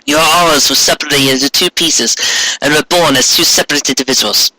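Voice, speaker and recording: Man acting a solemn, bright-voiced ghost queen. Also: a little high, a little fast, dry recording, low-quality recording. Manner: solemn, explanatory, formal. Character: regal female ghost of moon queen, solemn.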